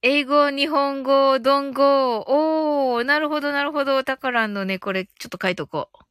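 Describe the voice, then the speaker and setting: A woman with a high voice hosts a casual live stream, recorded close and dry. She sounds cheerful and giggly, often thinking aloud while chatting with listeners and reading their comments. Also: normal speed, fairly bright tone, no echo. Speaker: host of a live audio stream.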